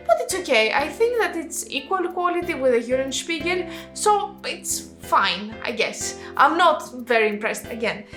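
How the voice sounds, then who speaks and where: A Greek-accented woman explains a makeup routine step by step in a chatty, self-correcting manner. Her voice sits high, and the room around her is echoey. Faint music plays under her talk. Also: bright, forceful tone. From Greece. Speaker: makeup artist and channel host. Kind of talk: narrated makeup tutorial.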